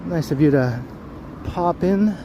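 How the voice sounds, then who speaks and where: A man with a deep voice, chatting casually with viewers while walking a city street for a livestream. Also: slow, dull audio. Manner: chatty, greets viewers as he walks. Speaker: livestream host. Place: outdoors on a busy city street. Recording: faint street and traffic noise.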